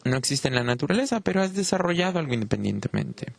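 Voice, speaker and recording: A man, not a native Spanish speaker, giving an unscripted Kabbalah lesson with many pauses and restarts. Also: a little high, sing-song, gravelly. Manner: halting, frequent "eh" pauses and restarts. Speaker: Kabbalah teacher. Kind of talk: unscripted lecture.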